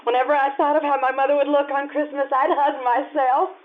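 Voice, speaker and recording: A woman's voice: sits high, little pitch movement, a little fast, close to an everyday voice. An actress playing a sentimental mother who tells her story earnestly in old-time radio drama style. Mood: wistful, nostalgic. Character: sentimental mother fondly recalling a childhood Christmas. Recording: narrow-band stage radio show recording with music.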